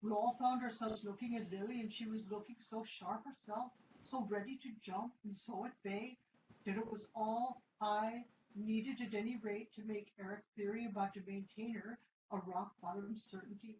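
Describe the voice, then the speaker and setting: A woman's voice: mid-range voice, steady tone, normal speed, a little mellow, a little hiss or hum. Woman reading the narration of a sci-fi radio play, echoey room, kinda muffled sound.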